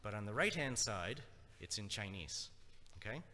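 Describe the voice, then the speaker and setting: Man, native French speaker, from Nova Scotia, chatty conference talk, crisp bright tone, long hall reverb. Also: fairly low pitch, animated, moderate pace. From Nova Scotia, Canada. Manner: chatty, checks in with the audience. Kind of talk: conversational lecture.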